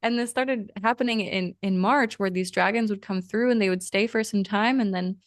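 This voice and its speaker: Guest: young woman raised in Lithuania and the US, smooth voice, dry close sound, earnest, rambling, lots of "um"s. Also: mid-range voice, normal speed, no echo. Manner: earnest, meandering, many fillers. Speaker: podcast guest. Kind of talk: casual interview conversation.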